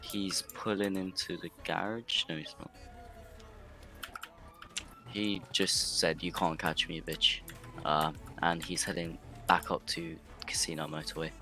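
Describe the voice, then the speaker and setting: Low, bright-voiced man calling pursuit. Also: little pitch movement, measured pace, soft background music. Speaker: police roleplay gamer. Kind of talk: pursuit commentary over game radio.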